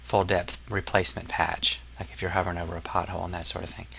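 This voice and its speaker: Bright-voiced man giving a casual software tutorial. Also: low voice, level tone, fast, gravelly, a little hiss or hum. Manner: informal, hesitant, step-by-step explaining. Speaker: instructor.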